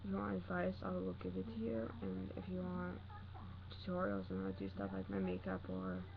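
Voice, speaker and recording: A young woman talking casually to her camera in an empty room, her voice hoarse and raspy, rambling with lots of fillers. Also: fairly low pitch, sing-song, fairly quick. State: hoarse from practicing screams. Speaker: vlogger. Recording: dull lo-fi recording with noise.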